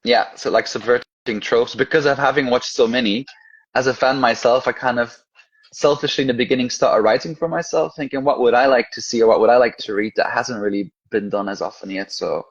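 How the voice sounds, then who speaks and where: A man's voice: medium pitch, fairly quick, breathy edge, muffled recording. A man from Europe, a guest author talking casually in an interview, chatty and laughing often.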